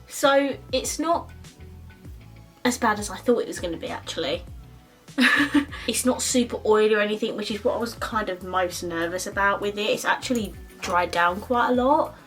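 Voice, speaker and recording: A woman's voice: medium pitch, a bit bright, soft background music. A woman in her twenties with an Essex accent, chatting casually while doing her makeup, with plenty of ums and likes. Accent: Essex English. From Essex, England. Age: 20s. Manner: chatty, lots of ums and likes, quick laughs. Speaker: beauty vlogger. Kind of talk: chatty get-ready-with-me talk.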